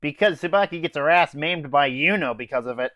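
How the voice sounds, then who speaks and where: A man's voice: a little high, a little fast, fairly bright tone, no echo, clean studio sound. A teenage boy casually recapping an anime episode in an offhand, hesitant way with fillers and swearing. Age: teens. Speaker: anime reviewer.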